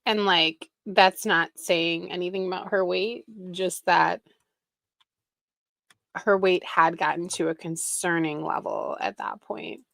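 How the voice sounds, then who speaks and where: A woman streams her reaction to a video in a low, slightly gravelly voice. She talks slowly, with wry, critical asides. Her mic sounds close and dry. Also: steady tone, fairly bright tone, dry recording. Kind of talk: casual reaction commentary.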